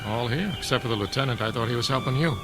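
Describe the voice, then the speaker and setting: An actor playing a gruff, sarcastic army sergeant in a radio drama. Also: male voice, mid-range voice, racing, over music, natural voice. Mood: dutiful, brisk.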